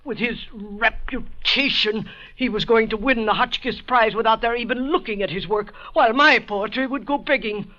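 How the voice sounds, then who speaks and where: A man playing a timid, high-strung secretary and would-be poet in an old radio drama, stammering, pompous and melodramatic. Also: moderate pace, lightly acted. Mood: indignant. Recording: dull vintage radio recording.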